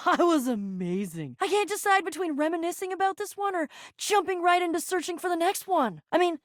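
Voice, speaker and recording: A woman voicing an excitable, bragging adventurer in a video game, earnest and theatrical, often stammering. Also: very high-pitched, brisk, no room sound, clean studio sound. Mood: upbeat, reminiscing. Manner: stammering, theatrical, swinging from gushing to sulky. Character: excitable, bragging adventurer, a living household object, earnest and dramatic. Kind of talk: video game character voice acting.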